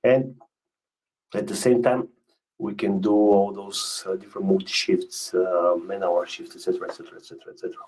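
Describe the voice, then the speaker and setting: A man giving a webinar product presentation as a specialist, speaking in a hesitant, halting way and often repeating words. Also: on the low side, little pitch movement, darkish tone, slightly rough, poor audio.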